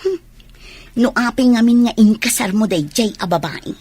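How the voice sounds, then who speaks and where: A woman's voice: fairly high pitch, normal speed, a little mellow, slightly rough, no room sound. A woman playing a scheming, jealous mistress in a radio drama, speaking slyly. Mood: coaxing. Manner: sly, plotting.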